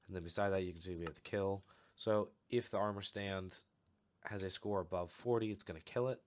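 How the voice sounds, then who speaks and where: A young man recovering from a cold, calmly walking through a step-by-step game tutorial with a slightly off voice. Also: low-pitched, fairly quick. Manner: relaxed, explanatory, steady walkthrough with fillers. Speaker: channel host giving a game tutorial. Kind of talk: casual screen-recorded tutorial.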